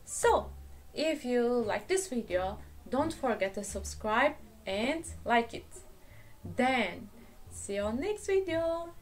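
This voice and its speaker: A woman's voice: on the high side, sing-song, takes it slowly, faint music behind. A woman narrating a children's storybook aloud as a storyteller and teacher.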